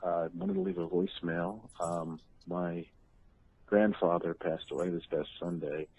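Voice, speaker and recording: A man's voice: sits low, lively intonation, moderate pace, darkish tone, slight background noise. A man leaving a personal voicemail message over the phone. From Atlantic City, United States. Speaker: voicemail caller. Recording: muffled lo-fi voicemail phone recording.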